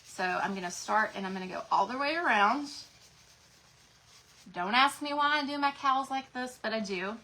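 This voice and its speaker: A woman's voice: fairly high pitch, normal speed, pushed and bright, rough recording. A woman, chatting folksily with viewers while demonstrating crafts live from home. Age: 40s. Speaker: craft channel host.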